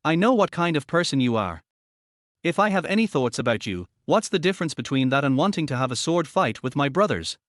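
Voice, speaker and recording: A calm young man with a dry, teasing edge, read out by a man. The delivery is lively and fairly high, and the sound is close and dry. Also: fairly quick, no echo.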